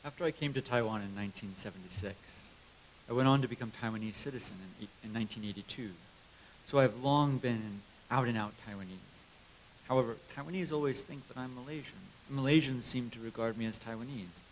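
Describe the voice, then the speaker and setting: A man's voice: mid-range voice, fast talker, low-quality recording. A man working as an interpreter, reading a prepared translated speech aloud to an audience. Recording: clear background hiss and noise.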